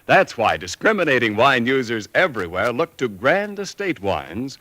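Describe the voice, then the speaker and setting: Animated, bright American radio announcer. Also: male voice, mid-range voice, moderate pace, no room sound. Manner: polished, promotional. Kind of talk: 1940s radio sponsor announcing, scripted.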